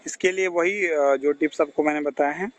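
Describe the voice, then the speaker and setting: Male health advisor, Indian accent, slightly higher-pitched, reassuring and conversational, on a recorded phone call. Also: steady tone, normal speed. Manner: reassuring, code-mixing English terms into advice. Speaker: health advisor giving IBS guidance. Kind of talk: casual phone consultation, advising.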